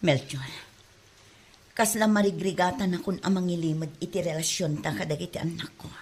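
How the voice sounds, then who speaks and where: A woman's voice: on the low side, fairly even intonation, fairly quick, slight background noise, lightly acted. A woman with an Ilocano accent playing a secretive, aggrieved mother in an audio drama. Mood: hushed, tender. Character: Marta, secretive unfaithful mother, defensive, aggrieved. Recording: music behind the voice.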